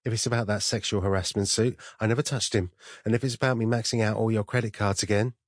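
A man's voice: fairly low pitch, fairly even intonation, fast, no echo, clean studio sound, pitched above the performer's own voice, lightly acted. A man voicing a bubbly, cheeky young female secretary with a breezy, defensive manner in an audiobook.

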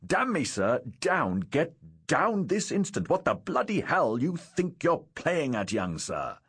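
A man's voice: deep voice, big pitch swings, measured pace, husky, heavily acted. Man acting a blustering, roguish naval captain. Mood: fuming, alarmed. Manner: barking commands, hearty exclamations. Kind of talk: audiobook character acting.

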